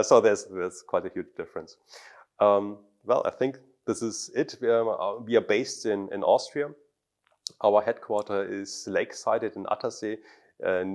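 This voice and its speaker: A man's voice: lowish voice, a little mellow. A man in his thirties from Germany answers interview questions to camera as a startup founder, feeling his way through sentences with repeated words. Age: 30s. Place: office meeting room indoors. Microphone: lapel mic.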